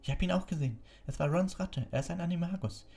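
A man's voice: on the high side, fast talker, gravelly, darkish tone, pitched above the performer's own voice. A man voicing an earnest, determined teenage schoolgirl in a storybook, pleading and insistent.

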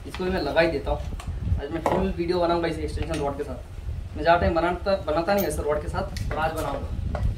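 A man casually presenting a product unboxing, informal and repetitive as he works, recorded outdoors on a porch from a camera a few metres away. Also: fairly high pitch. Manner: informal, hands-on, repeating himself while handling items. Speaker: product reviewer. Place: outdoor porch by house steps. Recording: roomy, distant mic, clearly noisy, clicks.

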